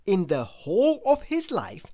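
Slow, very animated woman storyteller. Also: mid-range voice. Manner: expressive, deliberate storytelling. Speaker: storybook narrator. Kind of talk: children's read-aloud, scripted narration.

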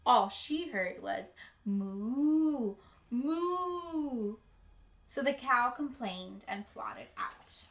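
A young woman reads a children's story in an emphatic, expressive teacher's way. Her voice is bright and unhurried. The room adds some echo around her. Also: medium pitch, creaky. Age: young adult. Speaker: teacher reading aloud to children. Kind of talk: expressive picture-book read-aloud. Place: indoor room with bookshelves. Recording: roomy indoor echo.

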